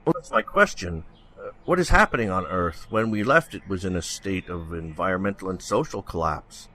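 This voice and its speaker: A man acting as an earnest male colony ship captain in a sci-fi radio drama. His voice is lowish and forceful, with an inquiring way of talking. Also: animated, moderate pace. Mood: questioning.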